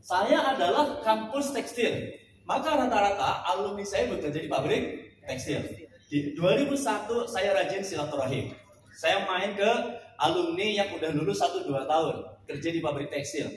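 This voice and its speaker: A man's voice: sits high, animated, normal speed, a little hiss or hum. A man in his forties from Central Java, giving a jokey motivational seminar talk and leading the crowd in call-and-response. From Central Java, Indonesia. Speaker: seminar speaker, business coach. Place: large indoor hall. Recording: echoey hall recorded from far off.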